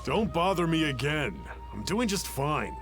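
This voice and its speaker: A man's voice: a little high, sing-song, a little slow, over music. An actor playing an offended townsman in a video game, huffy and dismissive. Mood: indignant. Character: indignant, offended townsman in good health.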